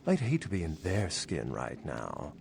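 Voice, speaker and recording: An actor voicing a suave, learned vampire scholar in a video game, speaking in polished, wry, formal phrases. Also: male voice, very deep voice, huge pitch swings, measured pace, gravelly, background noise, full character voice. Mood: wry, knowing. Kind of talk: video game character dialogue.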